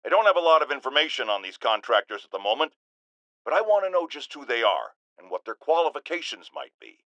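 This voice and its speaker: A man's voice: medium pitch, big pitch swings, fast talker, pushed and bright, no room sound, highs cut off. An American man playing a pompous, self-righteous older talk radio host in a video game, indignant and emphatic. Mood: stern. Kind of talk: theatrical radio talk show rant.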